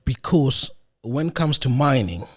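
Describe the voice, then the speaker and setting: A man's voice: mid-range voice, animated, measured pace, husky. A man hosting a podcast interview in casual chat, eagerly repeating points back to confirm them. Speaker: podcast host.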